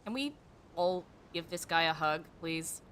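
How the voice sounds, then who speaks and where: A woman streaming a video game, chatting casually with halting, muttered asides and reactions. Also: animated, fairly bright tone. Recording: background noise with low rumble.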